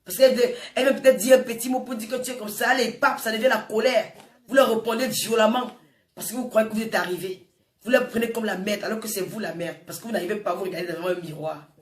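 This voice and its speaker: A woman's voice: mid-range voice, quick pace. A woman in her forties with a Francophone African accent delivers an informal, impassioned monologue, scolding emphatically and repeating phrases for effect. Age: 40s. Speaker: social media personality addressing viewers. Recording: echoey room, distant microphone.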